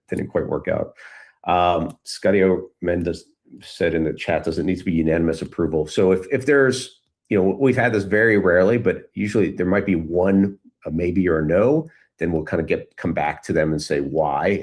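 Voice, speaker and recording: Man, very low deep voice, casual conversational answers, informal, halting delivery. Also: gravelly, a little mellow. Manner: informal, halting, thinking aloud. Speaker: guest speaker, community founder.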